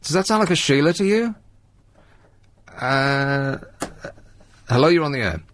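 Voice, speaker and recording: A man's voice: a little high, lively intonation, measured pace, fairly bright tone. A man hosting a live radio phone-in, chatting and telling stories in a dry, exasperated way. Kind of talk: casual live phone-in chat and anecdote.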